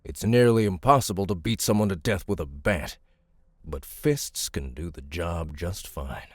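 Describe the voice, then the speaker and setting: An actor playing a big, gruff, middle-aged survivor, bitter and defiant, with a gravelly, raspy voice in an audiobook drama. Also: male voice, low-pitched, measured pace, dry recording. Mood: grim, haunted. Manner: weighty, defiant, rough-spoken.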